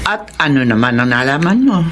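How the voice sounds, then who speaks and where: A woman's voice: a bass voice, sing-song, a little fast, fairly bright tone, heavily acted. A woman playing a caring, inquisitive middle-aged mother and wife in a Filipino audio drama, with a Filipino accent. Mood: probing. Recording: faint background noise.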